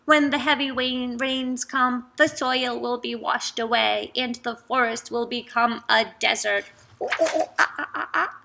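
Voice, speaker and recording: A woman voicing a troop of excitable monkeys who hoot between their words in a storybook read-aloud. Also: on the high side, a little slow, creaky, noisy background. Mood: urgent. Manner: hooting "ooh ooh ah" calls.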